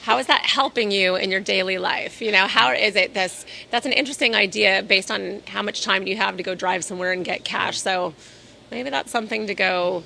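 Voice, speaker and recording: A hoarse woman speaking in an interview at a conference, talking in a measured, businesslike way and slowing down to stress her points. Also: medium pitch, sharp tone, slight background noise. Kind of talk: casual interview. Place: at a conference in New York City.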